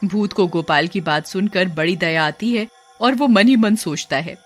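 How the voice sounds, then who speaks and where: A woman's voice: on the low side, normal speed, faint music behind. A woman reading a scripted children's story as narrator.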